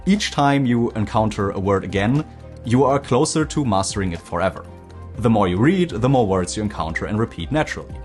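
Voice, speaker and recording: A man narrating a scripted language-learning video in a clear, explanatory way. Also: lowish voice, a little mellow.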